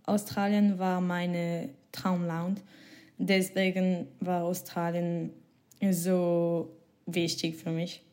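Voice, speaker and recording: A young woman from Switzerland answering interview questions in hesitant learner's German with many pauses and small laughs. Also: lowish voice, flat delivery, a little mellow. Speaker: guest, former exchange student.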